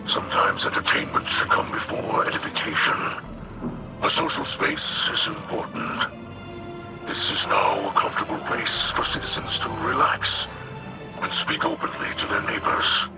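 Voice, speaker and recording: A man voicing a wise, gentle alien leader in a video game, solemn and formal, with a breathy growl. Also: lowish voice, close to an everyday voice. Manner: solemn, measured, formal phrasing. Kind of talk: video game cutscene acting.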